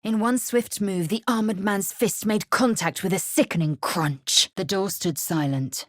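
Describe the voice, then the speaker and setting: A woman narrating a video game, telling the story in a measured, atmospheric way. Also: mid-range voice, dry recording, clean studio sound, close to an everyday voice. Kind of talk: scripted first-person game narration.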